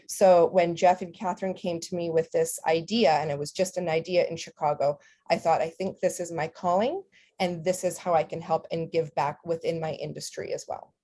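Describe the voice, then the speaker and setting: Canadian-raised woman, Ukrainian first language, earnest founder pitch, warm low tone. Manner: heartfelt, earnest, fillers. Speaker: skincare company founder. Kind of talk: personal talk at an online orientation.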